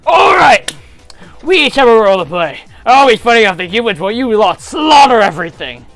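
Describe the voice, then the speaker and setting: A performer voicing a fierce, aggrieved rock-creature warrior in a loud, declamatory, raspy voice for a fantasy story reading. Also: big pitch swings, brisk, faint music behind. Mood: commanding. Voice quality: deep raspy put-on voice.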